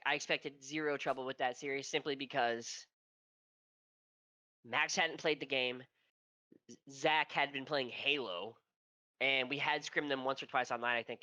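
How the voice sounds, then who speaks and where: A man's voice: mid-range voice, pushed and bright, no room sound. A man co-hosting a casual esports podcast, with a nasal, stuffy voice from allergies and a profane, rambling way of telling stories. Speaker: podcast co-host.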